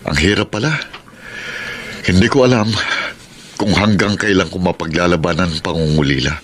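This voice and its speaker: An actor playing a forlorn, abandoned husband in a Filipino radio soap, plainspoken and resigned, with a Filipino accent. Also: male voice, low voice, moderate pace, slight background noise, natural voice. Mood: sad, resigned. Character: forlorn abandoned husband, father of two, taxi driver.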